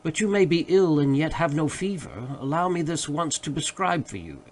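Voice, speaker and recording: A man voicing a worried, sensible gentleman friend who remonstrates earnestly and formally in a literary audiobook. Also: a little high, animated, normal speed, slight background noise, natural voice, low-quality recording. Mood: concerned. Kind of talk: literary audiobook character dialogue.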